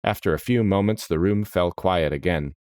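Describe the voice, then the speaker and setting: Very deep-voiced male fantasy narrator. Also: moderate pace, darkish tone, smooth, dry recording, clean studio sound. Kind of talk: scripted fantasy story narration.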